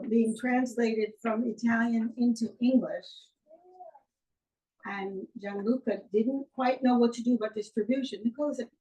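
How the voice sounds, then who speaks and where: Woman author, high voice, chatty, heard from a distance with faint room noise. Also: a little mellow. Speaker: author thanking hosts. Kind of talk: casual event remarks. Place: bookstore event room.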